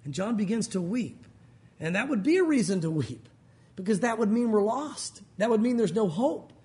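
High-voiced preacher with a loud voice. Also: male voice, lively intonation, moderate pace. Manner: conversational, emphatic preaching. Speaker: pastor. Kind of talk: sermon.

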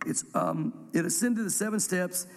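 A man reads scripture aloud and teaches verse by verse, congested from a cold, his voice sitting fairly high. He wanders into rambling, self-deprecating asides between passages. The sound carries a long reverb, like a large church hall. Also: normal speed. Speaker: pastor teaching a Bible study.

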